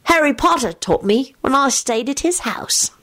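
A woman's voice: very high voice, animated, a little fast, pushed and bright, voice pushed up, full character voice. A woman reading a story as an earnest, nervous young schoolboy who blurts out his words hesitantly. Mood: excited. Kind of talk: audiobook character voice.

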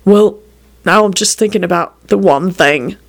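A woman's voice: fairly low pitch, measured pace, gravelly. A woman voicing a shy, awkward young male wizard who is touchy and sullen, in an audiobook story. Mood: bashful, halting.

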